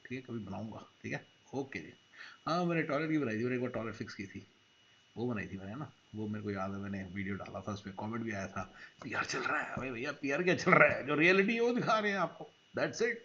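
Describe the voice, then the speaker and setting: A man's voice: mid-range voice, fast talker, bright, forceful tone, lo-fi sound, a little hiss or hum. A man hosting a reaction video, commenting sarcastically and mocking what he hears. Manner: mocking, puts on accents and laughs.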